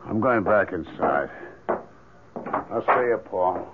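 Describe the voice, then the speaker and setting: An actor playing a moody, obsessive painter, terse and insistent, in an old-time radio drama. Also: male voice, lowish voice, animated, takes it slowly. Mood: stubborn, curt. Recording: tinny, hissy old radio recording.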